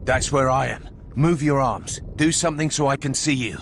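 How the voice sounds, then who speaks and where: An actor playing a defiant, hot-headed young soldier in a video game, with a gruff, urgent delivery. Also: male voice, medium pitch, normal speed, a little hiss or hum, close to an everyday voice. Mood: desperate. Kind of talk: video game action acting. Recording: music behind the voice.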